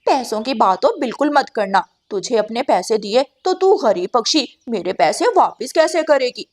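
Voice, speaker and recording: A woman's voice: on the high side, lively intonation, normal speed. A woman voicing a haughty, stingy crow lady with a condescending manner in a cartoon. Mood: scornful. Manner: dismissive, condescending.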